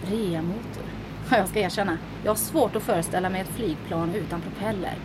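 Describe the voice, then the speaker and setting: An actress voices a daring woman pilot, confident and dryly humorous. Her voice sits mid-range, and a constant engine rumble sits under the lines. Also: lightly acted. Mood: wry. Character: daring woman pilot, speed-loving adventurer. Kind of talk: audio drama acting.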